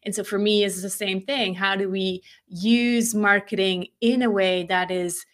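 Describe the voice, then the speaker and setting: A woman's voice: medium pitch, fairly even intonation, unhurried. A woman whose first language is Swiss German, a podcast guest talking in a reflective, casual way and often restarting sentences. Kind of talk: casual conversation.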